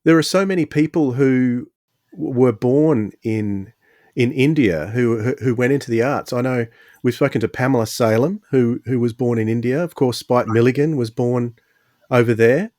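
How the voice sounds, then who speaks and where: A man's voice: mid-range voice, normal speed, darkish tone, no echo, clean studio sound. A man hosting a podcast, chatting casually in a rambling, hesitant way and often correcting himself. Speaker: podcast host. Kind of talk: casual conversational podcast talk.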